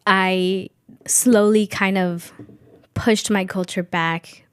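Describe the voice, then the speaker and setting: A woman's voice: mid-range voice, steady tone, slow pace, clean tone, no room sound. Reflective young woman, dry studio sound. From Guatemala. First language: a Mayan language. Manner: thoughtful, reflective, some hesitation. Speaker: youth radio co-host. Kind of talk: casual conversation.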